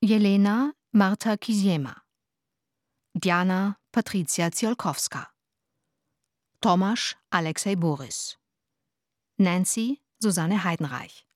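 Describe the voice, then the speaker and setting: Female announcer for scripted credits: unhurried, mid-pitched, very dry studio sound. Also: darkish tone, dry recording.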